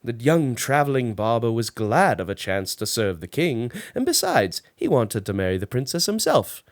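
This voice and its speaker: A man reading a children's storybook aloud as an expressive narrator. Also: medium pitch, moderate pace, no room sound, studio quality. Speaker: storybook reader.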